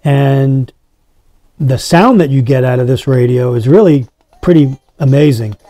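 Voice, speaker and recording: Mellow-voiced man doing a casual demo. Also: medium pitch, unhurried. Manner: addresses viewers as guys. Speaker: product reviewer. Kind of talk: casual hands-on product demonstration.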